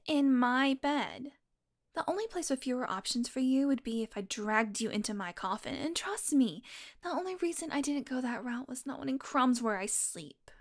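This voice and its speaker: A woman playing a sardonic, flirtatious, possessive female vampire in an intimate audio roleplay, talking teasingly to the listener and sometimes dropping into a murmur. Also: sits high. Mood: menacing, impatient. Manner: sardonic, teasing, casually swearing, slipping into breathy murmurs.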